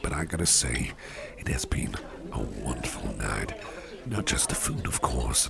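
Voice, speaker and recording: A man playing an attentive, courteous businessman boss in a soft ASMR roleplay, speaking in a breathy near-whisper with a gentle, reassuring manner. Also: a bass voice, full character voice.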